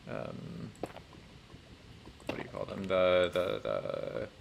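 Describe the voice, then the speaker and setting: A man chatting casually on a gaming stream, thinking aloud in a halting, rambling way with dry, self-deprecating humour. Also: low-pitched, sing-song, gravelly, background noise. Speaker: livestreamer playing a building game.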